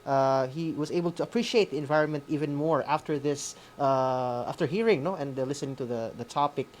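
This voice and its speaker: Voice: medium pitch, brisk. A host with a Filipino accent leads an online event in a casual, unscripted way. The delivery is hesitant and full of tags, over a video call with faint noise.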